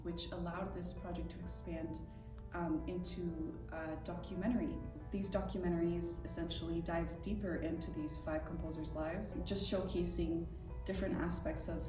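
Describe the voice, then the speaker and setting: A woman pianist from the United States, talking about her project in a hesitant way with many filler words. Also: lowish voice. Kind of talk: interview talk. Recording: roomy echo from far off with music.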